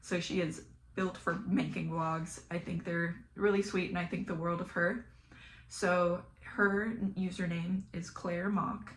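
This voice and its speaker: A young woman with a Southern American accent, chatting flatly and casually while doing her makeup, sometimes dropping to a mumble or whisper. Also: fairly low pitch, a little slow, slight background noise. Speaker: beauty vlogger. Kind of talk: casual get-ready-with-me chat.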